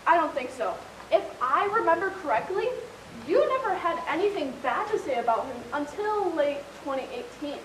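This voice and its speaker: A woman's voice: high-pitched, expressive, normal speed. A young woman playing a probing, prosecutor-like questioner in an amateur one-act stage play. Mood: pointed, accusing. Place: indoor stage, recorded from a distance. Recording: echoey stage, distant mic, faint hiss.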